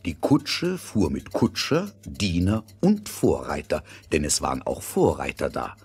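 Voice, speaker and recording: A man tells a fairy tale in a very raspy, gravelly voice. He is low-pitched and expressive, rising and falling freely as he narrates. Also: measured pace, soft background music. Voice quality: gravelly, husky.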